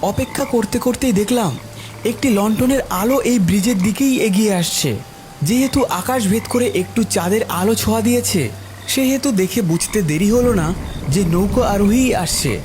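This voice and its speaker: A man's voice: high voice, moderate pace, a little mellow, a little hiss or hum. A man narrating a scripted horror story in the first person.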